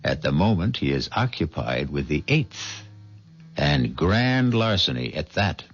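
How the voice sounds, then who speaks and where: Man, unhurried dramatic narrator, very expressive, wry, deliberate pauses, 1970s radio, dulled recording. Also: extremely low pitch, breathy edge. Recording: dull 1970s radio recording, music behind. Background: music.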